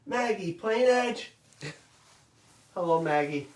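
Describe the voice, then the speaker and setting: A middle-aged man, hosting a live virtual cooking class and chatting loudly and warmly to his viewers. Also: high voice, animated, slow pace, reverberant, distant mic. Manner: loud, chatty, talks straight to viewers.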